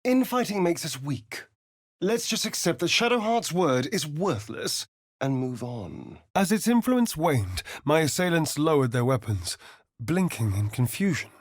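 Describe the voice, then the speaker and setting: An actor playing a sardonic, vain, scheming vampire spawn in a video game, with an arch, drawling, theatrical delivery. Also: male voice, on the high side, big pitch swings, unhurried, no room sound, studio quality. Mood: tense, resolute. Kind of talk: video game character voice lines.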